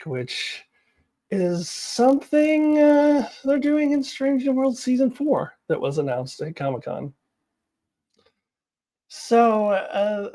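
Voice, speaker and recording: A woman's voice: low-pitched, warm tone. A woman hosting a vlog, giving casual opinion commentary in a chatty way, thinking aloud as she goes. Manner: chatty, thinking aloud, laughs through remarks. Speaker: host of a fan commentary vlog.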